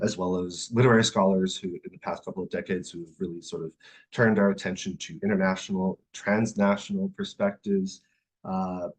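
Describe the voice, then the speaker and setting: Male scholar, low husky voice, presenting research in a hesitant, discursive manner. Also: low-quality recording. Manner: hesitant, discursive, hedging phrasing. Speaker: academic speaker, literary scholar. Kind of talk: conference presentation, semi-improvised talk.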